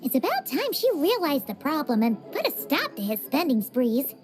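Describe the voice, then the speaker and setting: A woman's voice: huge pitch swings, normal speed, over music. A woman voicing a tiny, chirpy female fairy companion who is blunt and teasing in a game cutscene. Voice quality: squeaky, childlike.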